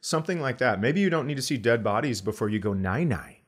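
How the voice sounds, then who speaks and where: A man's voice: mid-range voice, animated, quick pace. A male comedian co-hosting a podcast in casual banter, with dry, sarcastic joking.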